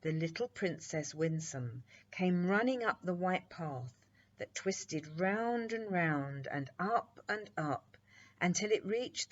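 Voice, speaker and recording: A woman reading a children's bedtime story in an expressive, deliberate storytelling manner, on a muffled recording. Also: lowish voice. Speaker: storybook reader.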